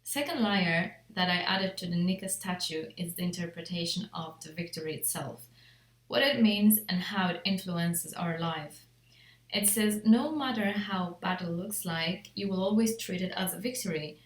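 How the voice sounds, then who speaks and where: A woman reads a scripted project presentation as an interior designer, in a measured, formal way. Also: lowish voice. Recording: echoey untreated room.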